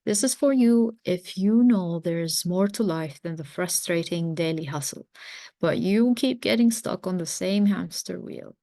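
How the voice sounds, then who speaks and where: Woman around forty, lowish gravelly voice, earnest coaching talk, reflective, sometimes halting. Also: warm tone, dry recording. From mountains of Lebanon. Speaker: parenting coach, series host. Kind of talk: personal talk introducing a series.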